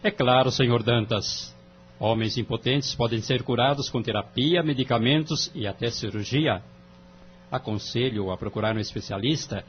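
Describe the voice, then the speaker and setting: A Brazilian man playing a calm, wise family-court judge in an audio drama, speaking in a formal, measured, moralizing way. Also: mid-range voice, slight background noise, lightly acted. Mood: firm, counselling. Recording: dull lo-fi audio, music underneath.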